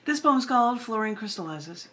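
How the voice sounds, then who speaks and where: A woman's voice: a little high, animated, normal speed, a bit bright. A woman poet and editor chatting informally as she introduces her own readings, rambling into playful asides. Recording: noisy amateur camera recording.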